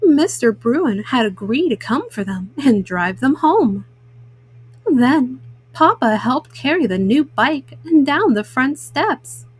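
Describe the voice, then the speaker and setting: A woman reading a children's story aloud in an expressive, measured narration for young listeners. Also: high voice, husky.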